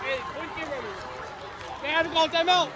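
A young man vlogging on his phone with friends, casual and excitable, shouting over a crowd at a basketball game. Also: very high-pitched, brisk. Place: school gym, then packed basketball gymnasium. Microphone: phone held at arm's length. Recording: loud crowd noise in a gym.

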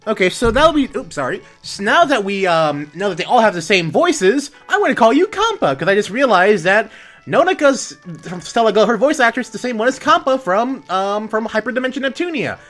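A woman's voice: fairly high pitch, very animated, a little fast, a bit bright. A woman hosting a let's play, chatting casually and correcting herself as she goes. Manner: chatty, self-correcting, reads dialogue aloud. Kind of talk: casual gameplay commentary. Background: game music.

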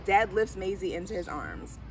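A middle-aged woman reads a children's picture book aloud. Her voice sits at an average pitch. It is picked up outdoors from a short distance, with light ambient noise behind her. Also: wildly swooping pitch, moderate pace. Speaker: school diversity director reading aloud. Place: outdoors on a garden bench under trees. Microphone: camera a few steps away. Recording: distant camera mic, outdoor background noise.

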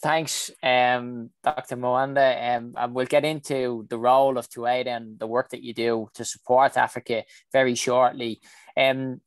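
A man in his thirties hosting an online panel on a video call, brisk and welcoming, with some fillers. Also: mid-range voice, no echo. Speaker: session host. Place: home room indoors. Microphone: video call with earphones.

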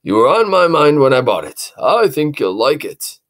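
A storybook reader voicing a friendly neighbor in a slow, kindly manner. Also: medium pitch, sing-song, pitched above the performer's own voice, close to an everyday voice. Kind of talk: storybook read-aloud.

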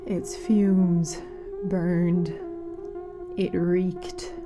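A woman playing a grave, mournful radio announcer in a fiction podcast, speaking solemnly and dramatically with weighted pauses. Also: on the low side, fairly even intonation, music in the background. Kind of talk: scripted fiction-podcast narration as a community radio broadcast.

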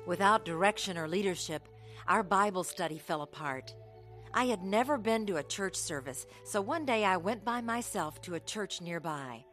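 A woman playing a grown woman looking back on her youth, in measured, scripted radio drama narration. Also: fairly low pitch, fairly bright tone. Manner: measured, reflective.

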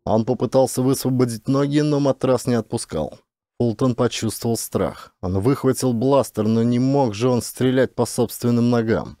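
A man's voice: mid-range voice, moderate pace, mellow, no echo, studio quality. A man narrating an audiobook as an actor, in a dramatic scripted style.

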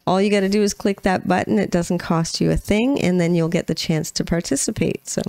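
A woman's voice: fairly low pitch, fairly even intonation, a little fast, a little mellow, no echo. A woman, chatting casually as co-host of a music reaction channel. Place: small room at home, indoors. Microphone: close boom-arm microphone.

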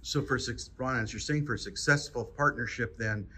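A man's voice: mid-range voice, a little fast, sharp tone, a little hiss or hum. A man on a panel, speaking earnestly with some joking. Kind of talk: panel discussion. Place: large meeting room, indoors.

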